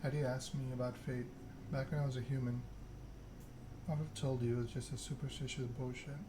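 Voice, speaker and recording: A man voicing a proud, scheming vampire in a measured, self-important monologue, read aloud in an ASMR style from a game. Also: mid-range voice, little pitch movement, noisy background, lightly acted. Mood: brooding, fatalistic. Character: proud, scheming vampire aristocrat telling their story.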